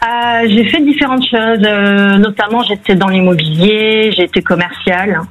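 A woman's voice: medium pitch, normal speed, highs cut off, a little hiss or hum. A woman from France calling a radio show, asking her questions in a conversational, hesitant, tentative way. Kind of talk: conversational question to a phone-in host.

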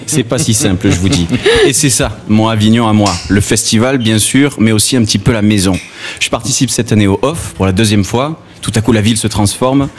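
A man from the Gard with a southern French accent, delivering a humorous radio column with folksy, deadpan asides. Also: lowish voice, fairly quick, slight background noise. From Gard, southern France. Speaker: comedian doing a radio column.

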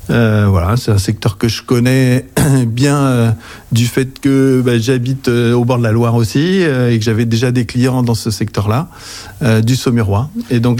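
A man in his forties from western France, with a French accent, giving informative interview answers as a company director in a very hesitant, halting way. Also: medium pitch, darkish tone. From Anjou, western France. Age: 40s. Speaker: accounting agency director, interview guest. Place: interview on site in an office.

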